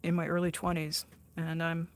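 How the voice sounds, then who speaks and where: A woman's voice: low voice, flat delivery, moderate pace. A woman in her sixties chatting casually on a livestream, answering viewer comments, laughing often and teasing herself. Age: 60s. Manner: chatty, laughs often, self-deprecating, frequent restarts. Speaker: art livestreamer reading chat.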